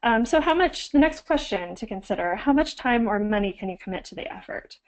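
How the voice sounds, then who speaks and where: A woman's voice: a little high, expressive, brisk, poor audio. A woman archivist getting over a cold, slightly froggy, giving an instructional webinar with frequent ums and self-corrections.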